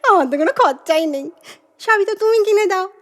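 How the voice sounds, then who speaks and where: A woman's voice: extremely high pitch, expressive, fairly quick, slight background noise. An actress playing a naive, childlike wife in a comic audio drama, coaxing and repeating her words in a playful, theatrical way. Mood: innocent, cheeky. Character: childlike, chirpy, naive wife, cheerfully wheedling her exasperated husband.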